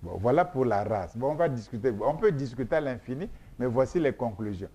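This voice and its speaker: A man's voice: mid-range voice, animated, a little fast, mellow, slightly rough. A man whose first language is Mboshi, from Congo, explaining his research in an interview in an emphatic, repetitive, lecturing way with frequent hesitations. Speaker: scholar being interviewed.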